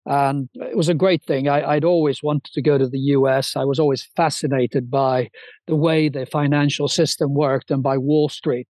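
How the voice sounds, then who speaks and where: A man's voice: medium pitch, steady tone, moderate pace, darkish tone, dry recording. A man in his sixties, originally from Sweden, telling his career story as a podcast guest with fluent enthusiasm and small restarts. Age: 60s. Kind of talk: interview storytelling.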